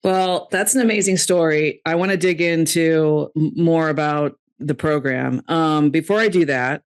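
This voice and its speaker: Brief: low-pitched woman podcast host, upbeat and encouraging, quick to laugh. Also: clear, resonant tone. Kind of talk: casual interview.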